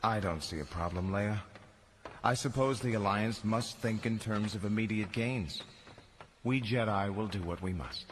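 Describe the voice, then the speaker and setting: An actor playing a calm, earnest, heroic Jedi master with a measured, assured manner in a sci-fi audio drama. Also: male voice, fairly low pitch, a little hiss or hum, natural voice.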